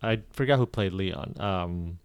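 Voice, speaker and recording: A man's voice: low voice, unhurried, smooth, no echo. A man co-hosting a casual podcast, talking in rambling runs with frequent ums and restarts, recorded close on a podcast mic. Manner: rambling, self-correcting, many ums. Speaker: podcast co-host. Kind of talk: casual chat.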